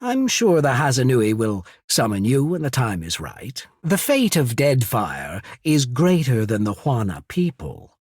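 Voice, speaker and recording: Shrewd diplomat officer in a fantasy game, polite n persuasive, slow measured delivery, super dry close sound. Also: male voice, mid-range voice, huge pitch swings, darkish tone, slightly rough, dry recording, full character voice. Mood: formal, measured. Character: shrewd, courteous male naval emissary of an island empire. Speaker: video game character. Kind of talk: scripted fantasy game dialogue.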